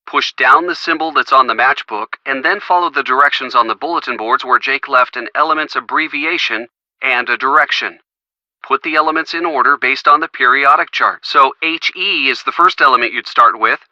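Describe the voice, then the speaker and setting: A man's voice: mid-range voice, fairly quick, bright, forceful tone, dry recording. A man playing an affectionate, playful young boyfriend giving help in a video game phone call. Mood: helpful. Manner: playful, teasing.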